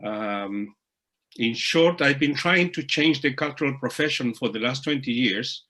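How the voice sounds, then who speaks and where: Bright-voiced man, halting and deliberate. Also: mid-range voice. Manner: hesitant, many fillers, slow deliberate phrasing. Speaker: webinar co-presenter. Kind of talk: online webinar introduction. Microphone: video call.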